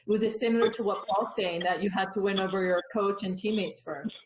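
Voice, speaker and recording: A woman's voice: medium pitch, steady tone, fairly quick. A woman moderating a panel, asking questions and responding in a conversational way. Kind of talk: conversational interviewing. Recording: roomy echo, clearly noisy call audio.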